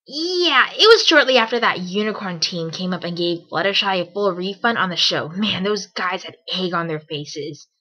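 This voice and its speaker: A woman's voice: on the low side, lively intonation, moderate pace, hardly any pauses, bright, forceful tone. A woman voicing a cocky, casual tomboy pegasus pony in an amateur audio drama. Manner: casual, bold.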